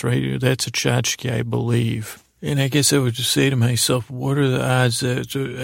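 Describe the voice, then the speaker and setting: A middle-aged man with a gravelly, creaky voice hosting a bedtime podcast, meandering and hesitant in a goofy way, often trailing off and restarting. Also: medium pitch, no echo, clean studio sound. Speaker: podcast host. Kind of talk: rambling bedtime sleep podcast monologue.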